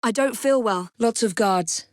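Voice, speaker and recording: An actress playing a poised, determined young Victorian woman assassin in a video game, with an English accent and crisp, formal diction. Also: a little high, unhurried, no echo, studio quality, close to an everyday voice. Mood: composed, purposeful. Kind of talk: scripted video game voice lines.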